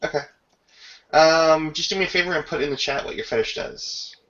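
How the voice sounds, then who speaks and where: A man acting as game master, narrating a tabletop roleplaying scene and its rules in halting, improvised phrases over a lo-fi voice chat. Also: fairly high pitch, steady tone, a bit bright. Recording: dull lo-fi voice chat.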